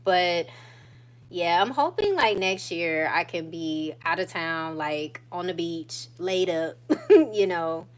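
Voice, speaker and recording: A woman in her thirties chatting casually to her viewers, relaxed and talkative, often laughing and stretching out her phrases. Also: fairly low pitch, takes it slowly. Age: 30s. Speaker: vlogger. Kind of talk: casual catch-up chat to viewers.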